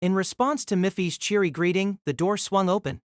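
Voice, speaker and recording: A narrator telling a fan audio drama story in an expressive storytelling manner. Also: medium pitch, normal speed, no echo, studio quality.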